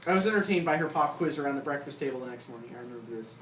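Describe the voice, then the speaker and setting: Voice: medium pitch, lively intonation, very fast, fairly bright tone. A person reading aloud from a comic memoir in an informal way, breaking off for asides and chuckles. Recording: roomy, distant mic, clear background noise.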